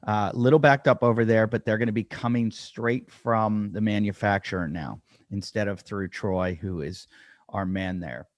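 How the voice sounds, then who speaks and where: A man's voice: lowish voice, normal speed, no echo. A man hosting a podcast, talking casually and self-deprecatingly with lots of fillers as he introduces the show and his guest. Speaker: podcast host. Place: dry room at home.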